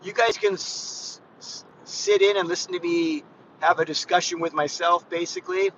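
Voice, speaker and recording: A man's voice: high voice, fairly even intonation, normal speed, fairly bright tone, dull audio. Rambling man in his fifties, driving. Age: 50s. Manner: rambling, chatty, frequent restarts and "guys". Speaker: fitness vlogger. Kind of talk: casual live vlog while driving. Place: inside a moving car. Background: faint car and road noise.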